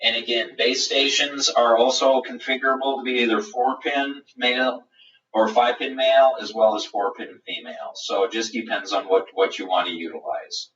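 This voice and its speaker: A man's voice: mid-range voice, steady tone, moderate pace, fairly bright tone. A man giving a methodical, explanatory live webinar product demonstration. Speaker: product presenter. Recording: roomy, distant, dull webinar audio.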